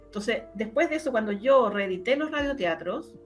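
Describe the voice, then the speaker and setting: A woman's voice: fairly high pitch, expressive, normal speed. Chilean woman chatting, music behind. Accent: Chilean Spanish. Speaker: interview guest, radio drama producer. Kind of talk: casual conversational recollection.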